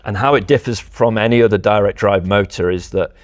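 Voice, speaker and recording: A man's voice: fairly low pitch, a little fast. A man giving a step-by-step technical explanation as a company representative presenting audio products.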